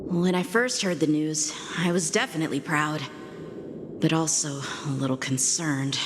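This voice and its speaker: A woman's voice: low-pitched, moderate pace, slight background noise, natural voice. A woman voicing an earnest, polite young boy swordsman in a video game, with a boyish delivery. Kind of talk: video game character acting. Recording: long reverb, music behind.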